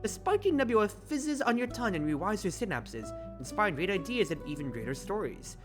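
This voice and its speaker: A streamer commentating on a game and reading its text aloud in an expressive, theatrical, exclamatory way. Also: medium pitch, fairly quick, music in the background. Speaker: streamer, host.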